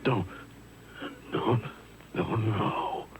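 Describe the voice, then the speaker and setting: A man's voice: fairly low pitch, steady tone, drawn-out words. A faltering, dying man. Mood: weak, fading. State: weak, faltering, barely breathing out words. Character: dying gunshot man, weak and fading. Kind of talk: 1950s radio drama acting. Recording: noisy old radio broadcast on cassette.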